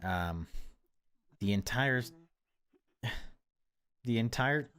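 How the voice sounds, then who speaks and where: A man reading horror game text aloud as dramatic narration, in deliberate, broken phrases. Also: medium pitch, lively intonation, fairly bright tone. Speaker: gaming video creator reading a visual novel aloud.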